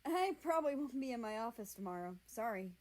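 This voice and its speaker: A woman voicing a friendly, considerate male healer in a fantasy storybook, explaining things gently. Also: on the high side, animated, brisk, natural voice.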